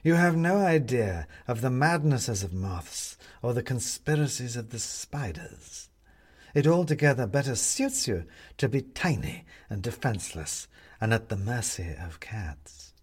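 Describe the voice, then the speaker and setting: A man's voice: medium pitch, animated, unhurried, husky. A man voicing a haughty, sly cat in an audiobook, drawling with disdain and contempt for humans. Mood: smug disdain. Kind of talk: audiobook character voice.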